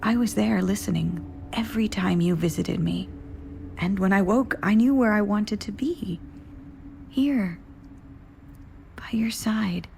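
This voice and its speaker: A woman's voice: mid-range voice, unhurried, vocal fry, long pauses, a little mellow, slight background noise. A woman playing a fragile, tender lost love in an audio drama, with a hesitant, emotional delivery. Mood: tender, haunted.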